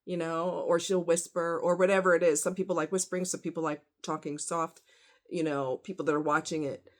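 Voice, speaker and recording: A woman's voice: fairly low pitch, little pitch movement, brisk. A woman talking casually in a rambling opinion vlog, conversational and informal, with slang and rhetorical questions. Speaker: vlogger.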